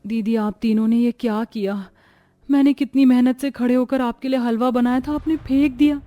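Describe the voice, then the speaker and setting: A woman's voice: fairly high pitch, steady tone, moderate pace, lo-fi sound, soft background music, lightly acted. Woman acting a weary, meek young pregnant sister-in-law. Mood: distressed, complaining. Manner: weary, polite, complaining. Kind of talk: acted Hindi cartoon moral story.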